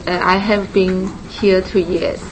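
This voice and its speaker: A woman from China speaks slow, halting English. Classroom noise fills the background. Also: mid-range voice, flat delivery.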